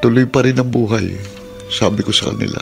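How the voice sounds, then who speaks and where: Actor as gravelly, heartbroken Filipino father. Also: medium pitch, fairly quick, a little mellow, lightly acted. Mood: resolute. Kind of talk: Filipino radio drama acting. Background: background music.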